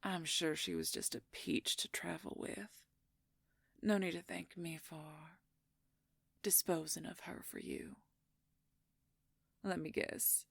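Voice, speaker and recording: Woman acting a husky, whispery, possessive werewolf schemer. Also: deep voice, no echo, heavily acted. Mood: smug, dismissive. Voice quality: husky, breathy, creaky near-whisper. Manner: silky, condescending, slow and deliberate. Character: smug, possessive werewolf schemer, conquering royal betrothed. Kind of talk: audio roleplay acting, addressing the listener.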